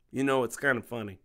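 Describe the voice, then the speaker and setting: An American man acting in an audio drama as a middle-aged, menacing, vengeful father, speaking with deliberate, controlled menace. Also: mid-range voice, bright, forceful tone, close to an everyday voice. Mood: mocking.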